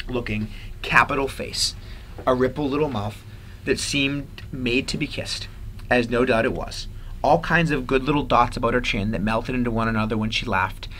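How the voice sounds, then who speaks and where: A man reading a story aloud as a teacher, with expressive narration. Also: mid-range voice, a little hiss or hum, low-quality recording.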